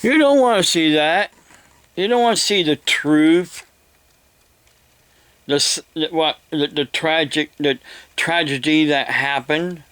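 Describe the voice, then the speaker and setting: An older man with an American accent delivers a rambling monologue, halting and deliberate, often repeating his phrases. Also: on the high side, a bit bright, smooth, no room sound. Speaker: evangelist vlogger.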